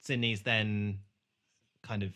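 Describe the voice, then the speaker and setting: A man hosting a podcast, casually discussing a film in a halting way with false starts. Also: fairly low pitch, level tone, pushed and bright. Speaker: podcast host.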